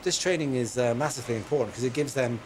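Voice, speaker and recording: A man supervising controller training, explaining quickly with fillers. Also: mid-range voice, noisy background. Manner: fast, with fillers. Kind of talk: interview.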